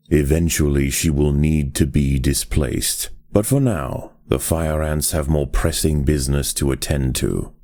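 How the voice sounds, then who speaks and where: An actor playing a measured, authoritative nature documentary narrator for a video game. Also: male voice, a bass voice, gravelly, a little mellow, no room sound, studio quality, full character voice.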